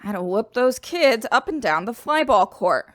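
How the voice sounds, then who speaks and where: A woman's voice: on the high side, big pitch swings, normal speed, dry recording, studio quality. A woman playing a feisty, boastful woman in her eighties in a sci-fi radio drama. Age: 80s.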